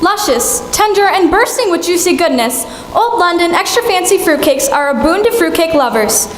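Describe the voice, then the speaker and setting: A woman's voice: very high voice, expressive, moderate pace. A woman playing a cheery, polished saleswoman reading an old-time radio sponsor advert. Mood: promotional. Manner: polished, promotional. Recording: echoey hall, faint noise.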